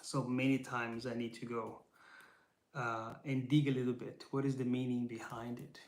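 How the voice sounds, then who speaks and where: A man whose first language is Portuguese gives a thoughtful teaching talk, pausing to search for words and often restarting his sentences. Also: medium pitch, flat delivery. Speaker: Bible teacher. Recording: roomy echo.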